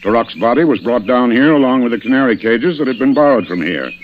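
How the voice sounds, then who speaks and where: A man playing a shrewd, dry, commanding police inspector in old-time radio mystery style, deliberate and sardonic in his questioning. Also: medium pitch, lively intonation, lightly acted. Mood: forceful, accusing. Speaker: radio actor. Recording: muffled, hissy old radio recording.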